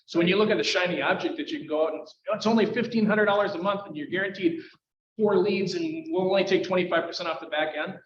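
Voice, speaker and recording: A man's voice: high voice, fast talker, fairly bright tone, a little hiss or hum. A man leading a real estate team, making emphatic points on a panel. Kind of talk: panel discussion. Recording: echoey room, muffled sound.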